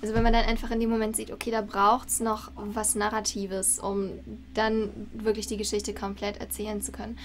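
A woman's voice: mid-range voice, completely flat delivery, moderate pace, hardly any pauses. A woman in her thirties moderates a conversation as host over a video call. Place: room with bookshelves. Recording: noisy video call, room ambience.

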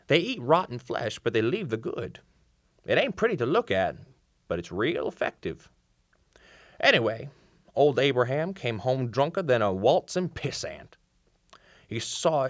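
An actor playing a kindly old small-town Southern country doctor in a radio play, with a folksy, drawling storyteller's manner. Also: male voice, medium pitch, dry recording, studio quality. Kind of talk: old-time radio drama acting. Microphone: shared vintage-style studio microphone.

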